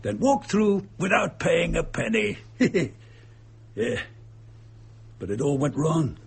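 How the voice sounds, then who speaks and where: A man acting in a radio drama as a gloating, bitter murderer and impostor, with a husky, gravelly voice and a sneering, darkly amused manner. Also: a little high, sing-song, takes it slowly, heavily acted. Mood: gloating, then bitter.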